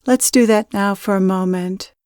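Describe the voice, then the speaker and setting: A woman leading a guided meditation as a spiritual teacher, speaking in measured, deliberate, instructional phrases. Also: medium pitch, darkish tone, dry recording, studio quality.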